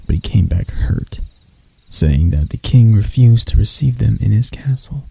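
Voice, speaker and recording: A very dark, mellow male elf royal, acted by a man, proud and mocking, in an intimate ASMR roleplay. The voice is husky and breathy with a creaky edge, and he lets dramatic pauses hang as he addresses his enemy. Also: medium pitch, no room sound, exaggerated voice.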